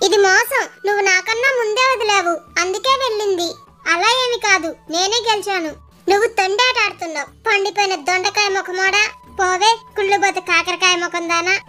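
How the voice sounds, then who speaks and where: A woman voicing playful, bickering young children in a cartoon story, excitable, teasing and singsong. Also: very high-pitched, brisk, soft background music. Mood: bickering, boastful. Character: squabbling young children, playful brother and sister.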